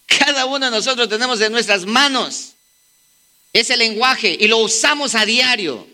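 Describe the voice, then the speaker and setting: A man preaches with a high, very bright voice that cuts through. He drives his points home with repeated rhetorical questions to the congregation, speeding up and slowing down for emphasis. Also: animated. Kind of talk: sermon.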